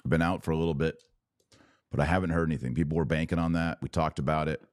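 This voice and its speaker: A man with an extremely low-pitched voice, a little raspy and tired from long hours of talking. He hosts conversationally, punching out short emphatic phrases as he comments and takes live questions. The sound is dry and close, as on a studio microphone. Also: steady tone, fast talker, a little mellow, no room sound. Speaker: podcast host. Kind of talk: casual religious commentary, answering live comments.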